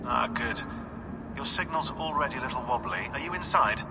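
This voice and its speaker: An actor playing a wry, cautious scientist who supports a mission in a video game, explaining things with some hesitation. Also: male voice, medium pitch, lively intonation, normal speed, very noisy, close to an everyday voice. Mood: careful instructing. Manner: hesitant, explanatory, dry humour. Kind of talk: naturalistic video game acting.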